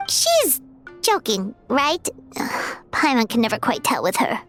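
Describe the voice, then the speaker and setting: Squeaky, childlike fairy companion. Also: female voice, huge pitch swings, slow, a bit bright, soft background music, exaggerated voice. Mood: puzzled. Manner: exclaiming, refers to self in third person. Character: small childlike floating fairy companion, chirpy and excitable. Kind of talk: animated video game voice acting.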